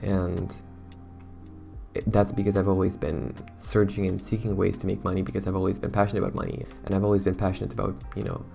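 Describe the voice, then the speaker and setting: A young man, hoarse from losing his voice, chatting casually and rambling to his viewers as a YouTuber. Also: low-pitched, monotone, fast, dark tone, soft background music. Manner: chatty, rambling, self-conscious. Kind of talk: casual talk to camera.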